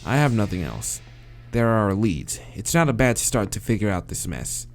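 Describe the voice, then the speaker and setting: A man's voice: fairly low pitch, normal speed, soft background music. A man playing a visual novel, reading the dialogue aloud and muttering and swearing as he picks answers. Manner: hesitant muttering with swearing, then steady reading. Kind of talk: let's play, reading dialogue and reacting.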